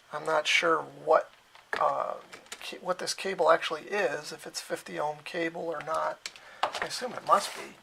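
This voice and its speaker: A man's voice: on the high side, gravelly. A man giving a casual, unscripted hands-on gadget demonstration, thinking aloud as he goes in his cellar at home. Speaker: radio hobbyist demonstrating equipment. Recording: noisy home recording with clicks.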